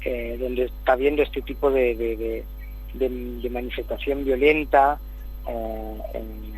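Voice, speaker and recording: A middle-aged man from Spain, a city councillor, explaining politics in a measured way, often repeating words as he searches for them. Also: mid-range voice, fairly even intonation, darkish tone, slightly rough, rough recording. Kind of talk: political interview over the phone.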